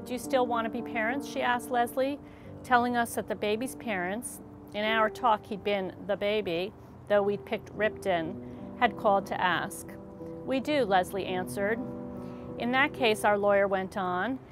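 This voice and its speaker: Female audiobook-style memoir reader, middle register, music bed clearly present. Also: mid-range voice. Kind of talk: scripted narration, audiobook reading.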